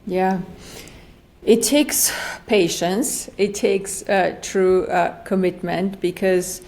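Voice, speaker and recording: A woman's voice: mid-range voice, fairly even intonation, slow, a little mellow. A woman of forty-nine with a Slovak accent, explaining earnestly as an interview guest and repeating phrases for emphasis. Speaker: functional medicine practitioner, podcast guest.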